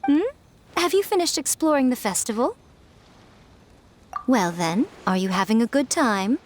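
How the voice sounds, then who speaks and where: A woman's voice: on the high side, lively intonation, unhurried, slight background noise. A woman playing a sly, knowing, elegant lady in a video game, teasing yet composed. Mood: knowing, kindly. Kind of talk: video game character acting.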